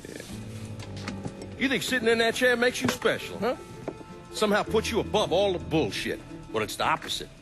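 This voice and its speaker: Over music, an aging mob patriarch, gruff and bitter. His fairly high voice roams widely in pitch as he lectures, profane and worn down, in a cinematic game style. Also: very animated, normal speed, long pauses. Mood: weary, bitter. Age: older. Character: weary, bitter old crime boss and father. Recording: music behind the voice.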